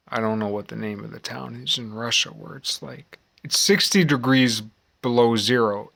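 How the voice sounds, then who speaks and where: American man, forties, mid-pitched voice. Rambling vlog monologue, slow and halting, musing, circles back on phrases. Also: a bit bright. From United States. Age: 40s. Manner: halting, musing, repeats phrases with long pauses. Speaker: vlogger.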